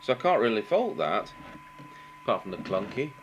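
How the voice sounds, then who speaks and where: A middle-aged Englishman with a fairly low voice talks through a technical job at a workbench. He is halting and unscripted, thinking aloud as he goes. There is some noise and hum behind him. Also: very animated, highs cut off. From England. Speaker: radio engineer demonstrating repairs. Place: indoor workbench, voice off camera. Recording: noticeable background noise with hum.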